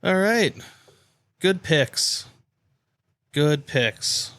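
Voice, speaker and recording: A man's voice: on the high side, animated, very slow, fairly bright tone, no room sound. A man co-hosting a sports podcast, chatting casually and teasing the others. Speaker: podcast co-host.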